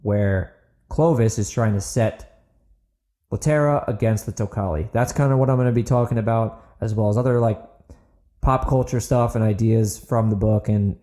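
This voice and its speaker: A man's voice: on the low side, dark tone. A man hosting a fiction podcast, reading his own novel dramatically and chatting to listeners with enthusiasm. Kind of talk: dramatic audiobook narration and casual podcast outro.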